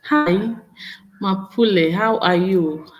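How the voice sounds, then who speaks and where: A woman's voice: medium pitch, slow pace, dull audio. A woman with a Nigerian accent chatting casually to viewers on a live stream, repeating herself and checking in with "okay?". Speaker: vlogger hosting a live stream.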